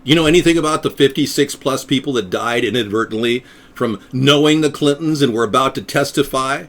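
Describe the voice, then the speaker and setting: A man's voice: medium pitch, fairly quick. A middle-aged man from the United States rambling sardonically as he hosts his own live stream for viewers. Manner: rambling, sardonic, slips into silly voices. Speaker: third-party candidate hosting a live stream.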